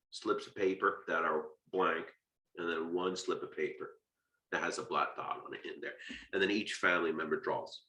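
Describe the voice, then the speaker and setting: A man's voice: low-pitched, a bit bright, roomy sound, rough recording. An American man giving an informal literature lecture, conversational and emphatic, checking his points with short rhetorical questions. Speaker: literature lecturer and video host.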